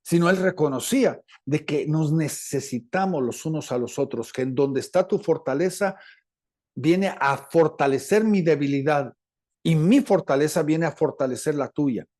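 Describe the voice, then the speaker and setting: A man with a Latin American Spanish accent preaching a teaching sermon, deliberate and repeating phrases for emphasis. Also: on the high side, a little mellow. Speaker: preacher, apostle.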